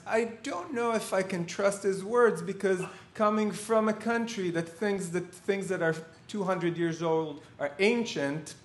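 A man speaking as a boastful, proud narrator voice, assertive and dismissive, in an echoey hall. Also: high-pitched.

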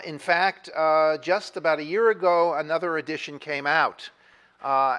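A middle-aged man lecturing on history, his voice sitting a little high for a man. He rambles, restarts his sentences and fills the gaps with uh and you know. Now and then he turns to ask the audience a question. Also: a bit bright. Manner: discursive, many fillers and restarts, asks the audience questions. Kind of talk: university lecture. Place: lecture hall. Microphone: moves away from the microphone at times.

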